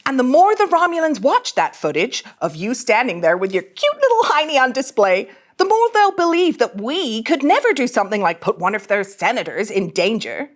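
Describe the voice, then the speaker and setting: A woman acts out a cocky young female ensign in a sci-fi drama reading. Her voice is fairly high and swings widely in pitch. She is theatrical, teasing and sardonic, always persuading. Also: big pitch swings, normal speed, few pauses. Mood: smug, persuasive. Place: studio.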